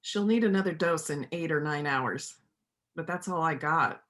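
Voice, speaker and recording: A woman in an amateur reader's theater play, acting a steadfast, principled small-town doctor who is quietly defiant. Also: low-pitched, moderate pace. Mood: wary.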